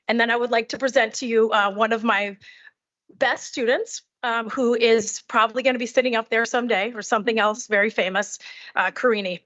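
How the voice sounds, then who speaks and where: High-voiced middle-aged woman giving testimony. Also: fairly even intonation, fairly quick, a bit bright. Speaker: teacher testifying. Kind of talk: prepared public testimony. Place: committee hearing. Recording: dull, muffled audio.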